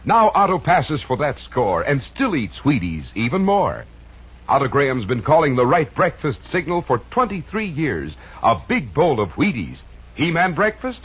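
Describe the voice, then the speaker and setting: Husky, hugely animated male radio pitchman. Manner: hearty, punchy salesmanship. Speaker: commercial announcer. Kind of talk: 1950s radio ad pitch, rhyming. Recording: dull old radio recording.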